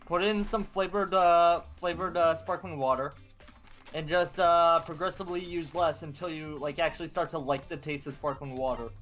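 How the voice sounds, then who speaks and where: A man chatting casually on a game stream, haltingly searching for his words. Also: fairly high pitch, fairly even intonation, fairly bright tone, soft background music.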